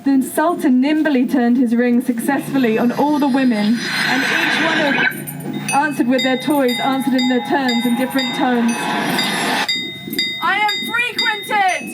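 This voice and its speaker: A woman's voice: high voice, normal speed, very noisy. A woman giving a performed reading of an old literary tale at a live performance. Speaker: performance artist reading a story. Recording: music over an outdoor live performance. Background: music.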